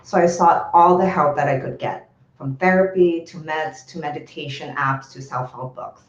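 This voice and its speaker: A woman from Indonesia whose first language is Indonesian, giving a steady, well-rehearsed startup pitch over a video call. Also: normal speed, roomy sound, dull audio.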